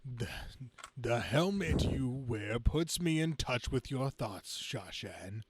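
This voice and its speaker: A man's voice: mid-range voice, expressive, slow pace, a little hiss or hum. A man voicing a menacing, gloating man-beast supervillain with stilted, grandiose speech, reading a comic book aloud.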